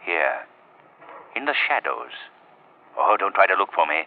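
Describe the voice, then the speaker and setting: A man's voice: sits low, very animated, exaggerated voice. An actor playing a mysterious, mocking, menacing invisible avenger in a 1940s radio drama, his voice filtered. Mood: sinister, taunting. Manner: taunting, deliberate, commanding. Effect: filtered, telephone-like voice. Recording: thin band-limited old radio recording.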